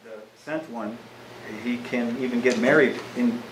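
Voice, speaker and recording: A man in a study group, speaking softly and tentatively as he adds his thoughts to the discussion. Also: mid-range voice, steady tone, measured pace. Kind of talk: casual discussion. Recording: echoey room with noticeable noise.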